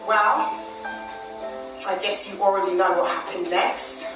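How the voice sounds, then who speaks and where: An actress playing a young Tudor queen telling her story in a stage musical, with a dramatic, deliberate delivery. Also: mid-range voice, steady tone, lightly acted. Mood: mock tragic. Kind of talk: musical theatre dialogue. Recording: echoey theatre, distant, music behind.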